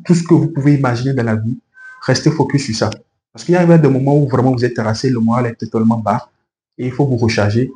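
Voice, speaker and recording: A man with a Beninese accent speaks as an interview guest at a middle pitch. He explains his points earnestly, one after another. The room is audibly echoey, with a faint layer of noise behind him. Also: dark tone. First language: a local language of Benin. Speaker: interview guest, fashion professional. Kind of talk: spontaneous interview answers with advice. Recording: roomy echo with faint background noise.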